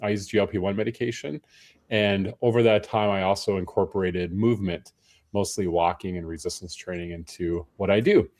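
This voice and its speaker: A tired, hoarse man speaking as a panel guest, chatting in a friendly, self-deprecating way with frequent restarts. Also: low-pitched, fairly even intonation, a little fast, darkish tone. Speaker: panel guest, personal trainer. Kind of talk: casual panel conversation.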